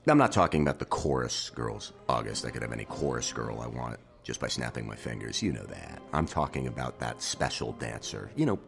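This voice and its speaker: Male actor as crude smug womanizer, deep voice, swooping insinuating delivery, veiled threats, audio drama. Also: animated, fairly quick, creaky, hardly any pauses, a bit bright, exaggerated voice. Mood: smug, leering. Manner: leering, needling, insinuating.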